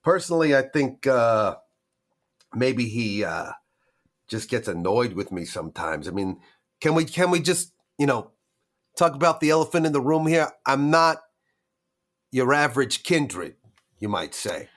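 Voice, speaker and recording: Man playing a halting vampire with very swooping pitch. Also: big pitch swings. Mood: nervous, evasive. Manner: halting, hedging, streetwise folksy talk. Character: nervous, hesitant, self-deprecating male vampire informant. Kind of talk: improvised in-character roleplay.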